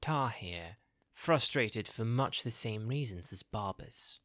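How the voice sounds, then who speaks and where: A man's voice: lowish voice, expressive, takes it slowly, fairly bright tone, dry recording, studio quality. A man narrating a scripted fantasy audio drama in a dramatic storytelling style.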